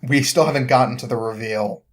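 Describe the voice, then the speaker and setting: A young man with a mid-pitched voice talks as a podcast guest, recounting a story in a loose, rambling way. His delivery is informal and often breaks into chuckles. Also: a little fast. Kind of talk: casual chat, rambling story recap.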